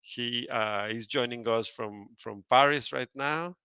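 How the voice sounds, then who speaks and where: Bright, hesitant event host. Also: male voice, medium pitch, a little slow, clean tone, no room sound. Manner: hesitant, many fillers and restarts. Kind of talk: conference announcements and speaker introduction.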